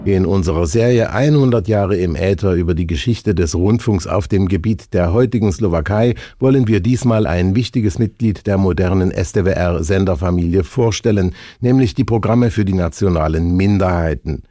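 Male radio host, low mellow voice, dry studio sound, measured and formal. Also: dry recording. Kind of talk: radio interview. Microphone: studio microphone.

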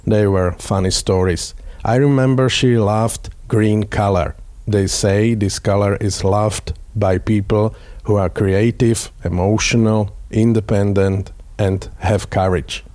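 Slovak-accented male journalist, low and plain, dry close mic, reads a measured tribute. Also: steady tone, darkish tone, no room sound. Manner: plain, measured short sentences. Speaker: radio journalist. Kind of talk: prepared personal tribute.

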